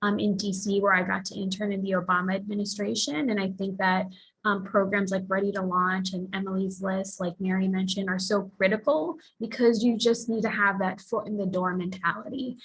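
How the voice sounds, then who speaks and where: A woman's voice: mid-range voice, little pitch movement, fairly quick, fairly bright tone, slightly rough, rough recording. A woman from the Philippines speaking earnestly as a panelist, sharing her own path with many fillers. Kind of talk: panel discussion, personal account.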